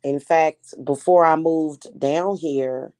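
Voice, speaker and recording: A woman in her forties from Kansas City, with a Midwestern accent and a mellow, warm tone. She chats with viewers in a loose, slangy, outspoken way and often rambles on. The sound is dry and close, with little room reverb. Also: low voice, slow pace. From Kansas City, Missouri. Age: 40s. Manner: rambling, slangy, frank, answers viewers directly. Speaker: livestream host reading chat. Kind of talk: casual chat.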